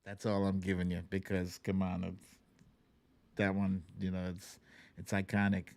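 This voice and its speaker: A man's voice: low voice, completely flat delivery, moderate pace, a little mellow. A man hosting a live online guessing game, chatting casually and playfully with his audience in an informal way. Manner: playful, informal, chuckling between remarks. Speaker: livestream host running a song-guessing game. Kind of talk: casual live chat with audience, reading out viewer names.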